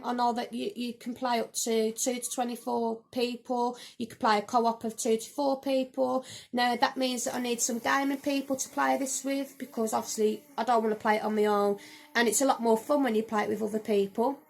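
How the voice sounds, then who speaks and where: Woman gaming vlogger, slightly croaky, higher-pitched, chatty and rambling. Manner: chatty, rambling, with frequent "um" and "so, yeah". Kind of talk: casual game collection review. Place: home room.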